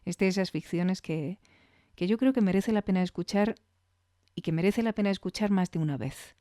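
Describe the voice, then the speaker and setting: A woman's voice: medium pitch, normal speed, dark tone, no echo, clean studio sound. A woman with an accent from Spain, giving conversational commentary as a podcast host.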